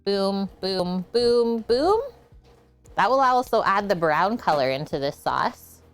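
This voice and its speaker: A woman's voice: mid-range voice, animated, slow pace, faint music behind. A woman hosting a casual home cooking livestream, chatting as she cooks and answering viewer comments. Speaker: cooking show host. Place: home kitchen.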